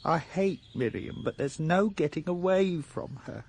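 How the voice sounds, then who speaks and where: An actor playing an irritable, discontented English shopkeeper of nearly forty in a radio drama, blustering and aggrieved. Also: male voice, a little high, animated, a little slow, vocal fry, darkish tone, heavily acted. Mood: gloomy, wistful. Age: 30s.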